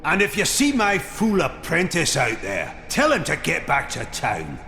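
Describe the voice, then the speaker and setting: An actor playing a weary, gruff male blacksmith in a game. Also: fairly high pitch, wildly swooping pitch, unhurried, over music, heavily acted.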